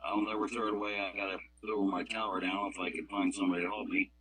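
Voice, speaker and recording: A man's voice: deep voice, level tone, quick pace, crowd noise, low-quality recording. A man calling in to a radio club net, chatting casually in a plain-spoken, folksy way. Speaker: club member calling in on a walkie-talkie app.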